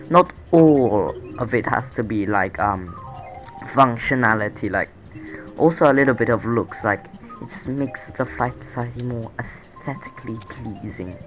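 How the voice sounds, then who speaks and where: A man with a sore throat and a nasal voice, rambling through casual game commentary with many fillers and restarts. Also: medium pitch. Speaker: gameplay commentator.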